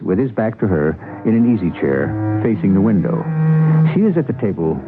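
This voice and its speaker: A man hosting and narrating a radio mystery with measured, suspenseful, dramatic scripted delivery. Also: fairly low pitch, sing-song, over music. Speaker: host and narrator. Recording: dull lo-fi old radio recording.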